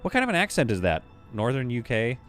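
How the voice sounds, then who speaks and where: A man's voice: mid-range voice, soft background music. A man streaming a game live, chatting animatedly with his viewers and laughing often. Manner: animated, chatty, quick to laugh, reads chat aloud.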